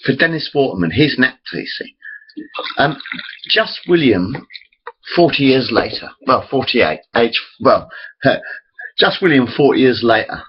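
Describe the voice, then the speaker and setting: A man's voice: mid-range voice, lively intonation, a little slow, pauses often, slightly rough, dull audio. A man in his forties rambling chattily to his webcam, interrupting himself often. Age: 40s. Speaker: vlogger. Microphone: built-in computer camera mic.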